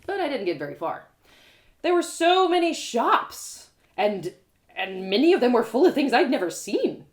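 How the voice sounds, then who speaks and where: A woman storyteller reading first-person fiction aloud in an expressive, theatrical way. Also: high voice, moderate pace. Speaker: author and storyteller.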